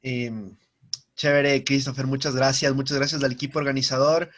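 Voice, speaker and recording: A man's voice: medium pitch, flat delivery, moderate pace, fairly bright tone. A young man, giving a personal talk over a video call and often repeating himself as he restarts. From Ecuador. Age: young adult. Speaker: guest speaker.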